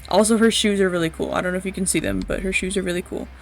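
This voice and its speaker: Female streamer, young adult: medium-pitched, slangy, blunt, off-the-cuff game commentary with light background music. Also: fairly even intonation, racing. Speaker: game livestreamer. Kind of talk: casual, rambling live game commentary.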